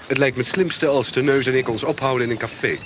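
A man, an actor, as an easygoing swindler in a radio play. His voice is mid-pitched, amid clearly noisy outdoor bird ambience. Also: fast, close to an everyday voice. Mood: casual. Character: swindler's easygoing male business partner. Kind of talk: radio drama acting. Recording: noisy harbour ambience with birds.

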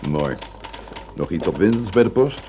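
A probing police inspector in a radio play. His voice is low, his manner dry and understated, on a slightly noisy old recording. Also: big pitch swings, normal speed, warm tone. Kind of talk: radio drama acting.

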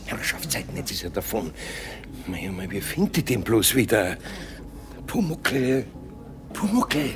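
An actor playing a gruff, kindly older craftsman with a Bavarian accent, muttering and hesitant, in a radio play. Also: lowish voice, big pitch swings, a little slow, pauses often, noisy background. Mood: flustered. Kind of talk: 1970s radio play acting.

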